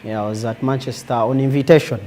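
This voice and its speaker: A man's voice: medium pitch, steady tone, quick pace, warm tone. A man in his twenties with a Ugandan accent, telling his personal story conversationally as an interview guest. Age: 20s. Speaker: interview guest, former footballer.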